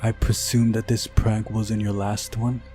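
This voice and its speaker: Casting: male reader as fed-up young male protagonist, sardonic visual novel dialogue, lowish everyday voice, faint music underneath. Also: flat delivery, a little slow, mellow, close to an everyday voice. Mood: wary sarcastic. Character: ordinary young man, sarcastic, irritable hero.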